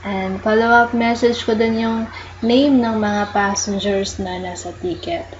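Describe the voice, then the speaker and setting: Slow, patient Filipino woman explaining. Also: mid-range voice, fairly even intonation, highs cut off, a little hiss or hum. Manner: slow, patient explaining, mixing English into Tagalog. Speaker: tutorial host. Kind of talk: casual screen-recorded walkthrough.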